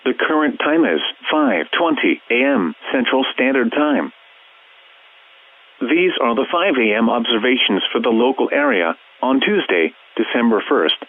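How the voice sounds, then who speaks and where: A man's voice: mid-range voice, expressive, measured pace. A synthetic male voice with an American accent, formally and impersonally reading scripted emergency weather alerts like a radio announcer. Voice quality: synthetic text-to-speech voice. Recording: muffled, phone-like weather radio broadcast.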